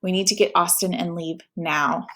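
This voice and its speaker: A woman reading aloud the lines of a gentle, worried mother speaking to her teenage son. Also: fairly low pitch, steady tone, normal speed. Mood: fearful. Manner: gentle, anxious. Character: worried, caring mother of a teen.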